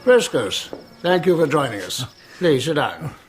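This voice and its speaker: An actor in a radio drama playing a shrewd, thrifty emperor, courteous but commanding, with a dry, husky delivery. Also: male voice, on the high side, sing-song, unhurried, soft background music. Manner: clipped, formal, dry.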